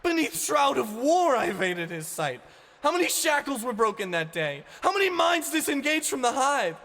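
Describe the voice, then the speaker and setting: A woman's voice: sits high, big pitch swings, normal speed, fairly bright tone, big-room sound, pitched above the performer's own voice, exaggerated voice. A man voicing a furious, vengeful young woman mechanist who screams her accusations. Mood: raging.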